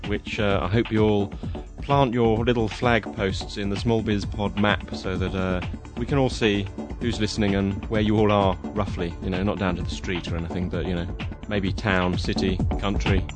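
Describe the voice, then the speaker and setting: A man hosts his podcast with a low-pitched voice. He talks in a relaxed, chatty way, full of little fillers and restarts. Faint music plays underneath. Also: moderate pace. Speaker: podcast host. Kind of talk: casual podcast narration.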